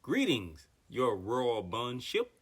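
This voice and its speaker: A woman's voice: very deep voice, big pitch swings, takes it slowly, breathy edge, pitched below the performer's own voice, full character voice. A woman doing a pompous, wordy turkey. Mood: grandly formal. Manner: grand, formal and long-winded. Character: pompous talkative male turkey, wordy and formal. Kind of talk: storybook character voice.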